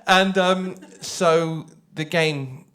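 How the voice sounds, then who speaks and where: A man talking informally to an audience as a writer on a panel, animated and conversational, often checking in with questions. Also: on the high side, drawn-out words. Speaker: audio drama writer on a panel.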